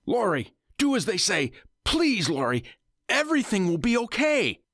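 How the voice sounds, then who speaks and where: A terrified young husband, voiced by a male narrator, calling out in desperate, high-strung pleas. The sound is dry and close-miked. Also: huge pitch swings, normal speed, fairly bright tone, no echo, exaggerated voice. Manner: desperate pleading cries.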